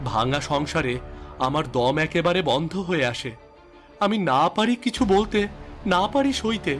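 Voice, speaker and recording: Cartoon drama role: resentful young son, played by a man, scornful, emphatic, voice pushed higher, music underneath. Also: animated, moderate pace, close to an everyday voice. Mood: bitter, upset. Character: resentful, defiant young stepson rejecting his new family. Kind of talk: melodramatic cartoon story acting.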